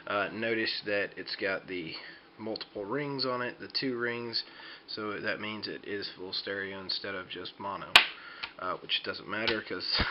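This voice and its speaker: A man giving an unscripted how-to demonstration, talking in a hesitant, rambling way as he explains. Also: lowish voice. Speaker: DIY tutorial presenter. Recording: dull lo-fi home video, background noise.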